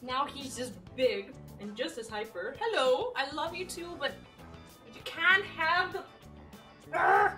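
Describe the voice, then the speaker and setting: A woman's voice: sits high, a little slow, sharp tone, recorded from a distance, soft background music. A young woman from Serbia chatting casually with her live-stream chat and her dog while playing a game at home.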